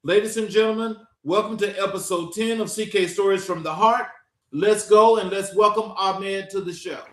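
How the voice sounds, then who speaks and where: Male show host, high voice, emphatic and dramatic, echoey room. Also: normal speed, fairly bright tone. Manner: dramatic, emphatic intro delivery. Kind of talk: interview show hosting.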